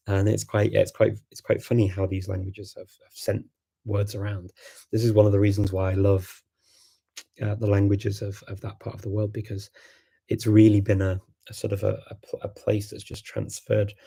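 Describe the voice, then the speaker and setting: A man's voice: lowish voice, creaky, warm tone, husky. A man whose first language is Macedonian, hosting a live stream and chatting casually in answer to viewer questions, thinking aloud with many ums and restarts.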